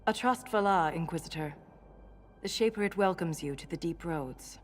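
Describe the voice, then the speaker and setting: An actress playing an earnest, formal dwarven scholar in a video game, speaking precisely and with measured care. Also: female voice, fairly low pitch, slight background noise, lightly acted. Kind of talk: video game cutscene acting.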